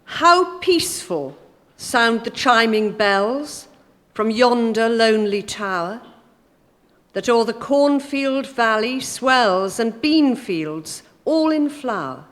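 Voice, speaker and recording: A woman speaking formally and deliberately to an audience as a guest poetry reader. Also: on the high side, expressive. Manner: deliberate, careful delivery. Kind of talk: formal introduction and poetry recital. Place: inside a church at a live event. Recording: long church reverb.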